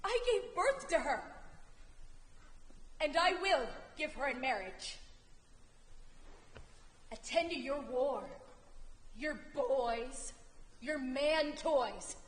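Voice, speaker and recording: A woman's voice: sits high, lively intonation, very slow, long pauses, full character voice. A woman playing a proud, commanding queen and mother in a stage play, with pointed, emphatic delivery. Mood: defiant, angry. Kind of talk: theatrical stage acting. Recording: echoey theatre hall with faint noise.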